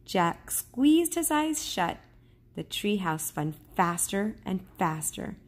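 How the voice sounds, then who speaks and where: A woman reading a children's storybook aloud as a teacher would, in a measured, careful storytelling manner. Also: mid-range voice, sing-song.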